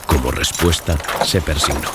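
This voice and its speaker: A man's voice: extremely low pitch, normal speed, very noisy. A man narrating a dramatized gothic horror audiobook as a scripted reading. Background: loud music and sound effects.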